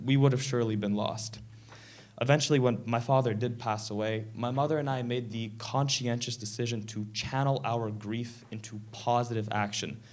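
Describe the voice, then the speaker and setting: A man's voice: medium pitch, a little mellow. Earnest teenage boy giving a stage talk. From Canada. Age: teens. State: slightly sick, clearing throat. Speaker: presenter. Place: event stage.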